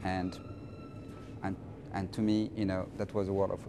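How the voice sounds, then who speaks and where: A middle-aged man from France with a French accent, answering interview questions in an emphatic, halting way and often repeating phrases. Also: low-pitched, level tone, noisy background. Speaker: interviewee, finance professional.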